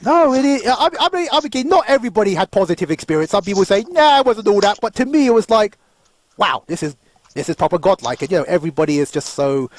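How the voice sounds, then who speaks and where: A high-voiced man in his thirties talks at a quick clip, rambling through tangents and laughing often as he hosts a podcast chat. The sound is dry and close, with little room. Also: expressive, no room sound. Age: 30s. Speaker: podcast host. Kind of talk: casual panel chat.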